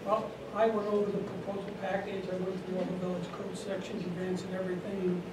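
A middle-aged man chairing a planning board meeting, brisk and procedural with light humor, recorded from afar in a meeting room. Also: sits high, level tone, distant mic. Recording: echoey room from afar, noisy.

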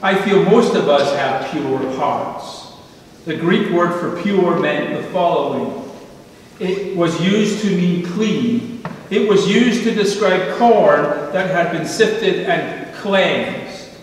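A middle-aged man preaching a sermon from a church pulpit, slow and measured, rising for emphasis on repeated phrases. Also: high voice, expressive, slight background noise. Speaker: pastor. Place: church sanctuary, standing at a pulpit. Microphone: pulpit microphone heard from a distant camera. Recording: echoey church hall from far off.

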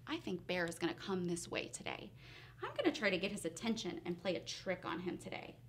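A tricky male fox from a children's tale, acted out by a woman storyteller. He is playful and coaxing, explaining things slyly in a bright, highish voice that swings up and down. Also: very animated, measured pace, slight background noise, heavily acted. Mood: scheming. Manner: coaxing, instructing.

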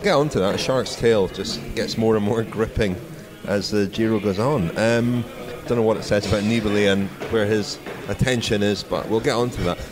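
A man's voice: on the low side, moderate pace, hardly any pauses, music in the background. A British man hosting a sports podcast in casual, chatty style. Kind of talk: casual chat. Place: bar of a sports complex. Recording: busy public venue, background chatter.

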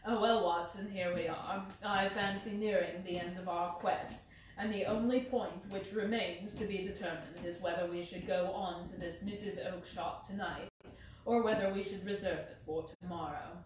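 A woman voicing a calm, clever male detective in a lower, bland and measured tone, recorded from a distance in a roomy space. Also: close to an everyday voice. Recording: roomy, distant mic, faint hiss.

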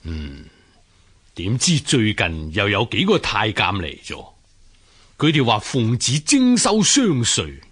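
Solemn, husky, troubled official. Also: male voice, on the low side, huge pitch swings, fairly bright tone, heavily acted. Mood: troubled, grave. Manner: solemn, measured, declamatory. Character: earnest, troubled male official and loyal husband. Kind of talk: radio drama acting.

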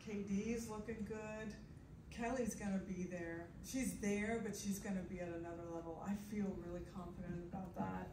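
A woman softball coach answering postgame questions in an upbeat, emphatic way, often repeating words while she thinks. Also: medium pitch, fairly even intonation, normal speed. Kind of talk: postgame press conference answers. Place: press conference room. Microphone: table mic heard from the audience. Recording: roomy, distant, noisy phone recording.

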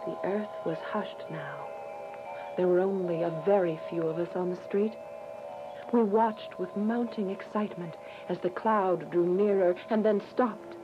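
A woman's voice: lowish voice, fairly even intonation, unhurried, lightly acted. A woman playing a devout, earnest young believer, narrating with emotion in a radio drama. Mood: hushed, reverent. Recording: muffled audio with music bed.

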